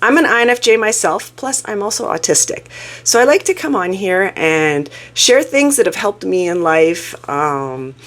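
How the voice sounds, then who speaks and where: A woman hosting her own channel, sounding croaky from a cold as she talks through a topic in a casual, earnest, self-reflective way. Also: medium pitch, expressive, moderate pace. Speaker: YouTube channel host. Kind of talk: casual talking-head explainer.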